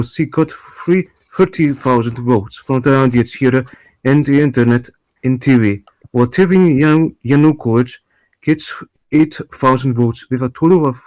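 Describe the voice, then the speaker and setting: Casting: actor as orderly male TV debate moderator for a play read, medium pitch, dry sound, stumbling delivery. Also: darkish tone, no room sound, natural voice, rough recording. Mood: formal, firm. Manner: halting, stumbling over words and names. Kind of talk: amateur table read of a play.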